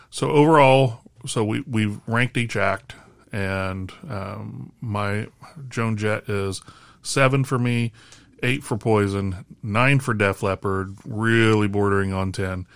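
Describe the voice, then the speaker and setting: A man co-hosting a casual podcast chat, his voice raspy and hoarse from screaming at a concert, rambling with lots of fillers. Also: fairly low pitch, a bit bright, no echo. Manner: rambling, filler-heavy delivery. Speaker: podcast co-host.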